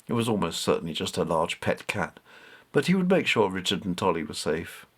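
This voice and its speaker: A man reading a children's novel aloud as narrator, measured and expressive. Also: medium pitch. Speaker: storyteller.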